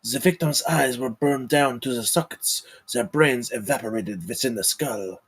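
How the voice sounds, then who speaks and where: A man playing a grave, courtly count in a screenplay table read, speaking formally and with measured solemnity. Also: mid-range voice, husky. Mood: grave, ominous. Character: commanding, grave, courtly count leading a supernatural hunt.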